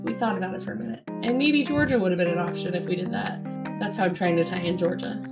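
A woman's voice: lowish voice, fairly even intonation, fast, over loud music. A young American woman co-hosting a podcast in casual chat, her voice worn by a cold. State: recovering from a cold and lost voice. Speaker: podcast co-host.

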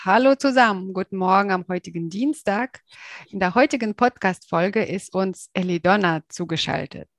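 A woman's voice: mid-range voice, moderate pace, dry recording, studio quality. A woman hosting a podcast, opening the show and asking a guest questions in a friendly, prompting way. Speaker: podcast host. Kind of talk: interviewing on a podcast.

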